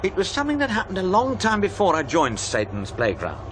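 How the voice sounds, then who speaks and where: An actor playing a world-weary, knowing sceptic with a sardonic conversational delivery in a radio drama. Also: male voice, sits high, wildly swooping pitch, a little fast, fairly bright tone, exaggerated voice.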